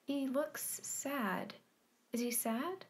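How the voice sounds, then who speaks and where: Actress as an earnest, high-voiced young woman. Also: drawn-out words. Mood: curious. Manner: plain, probing short questions and statements. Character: earnest, timid but persistent young woman client. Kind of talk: naturalistic audio play acting.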